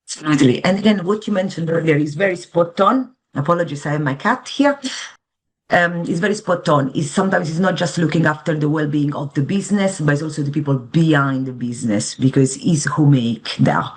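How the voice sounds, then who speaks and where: A woman's voice: deep voice, fairly quick. Earnest Italian-accented woman advisor. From Italy. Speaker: financial advisor, interview guest. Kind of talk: conversational explanation in an interview.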